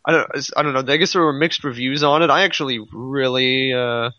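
A man's voice: mid-range voice, steady tone, fairly quick, pushed and bright, dry recording, muffled recording. A man chatting casually as a podcast panelist, rambling and repeating himself as he works toward his point. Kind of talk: casual fan discussion.

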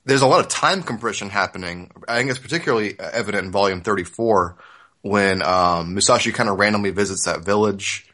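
Bright-voiced, low-pitched man in casual discussion. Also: brisk. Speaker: podcast co-host. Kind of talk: casual discussion of a comic series.

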